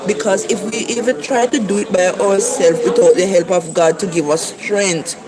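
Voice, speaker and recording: An earnest Jamaican-accented preacher speaks to online viewers, driving points home by repeating them. The voice sits at an ordinary middle pitch. A clear crowd murmurs behind. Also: low-quality recording. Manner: earnest, repetitive exhortation. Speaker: livestream preacher. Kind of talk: casual encouraging talk to livestream viewers.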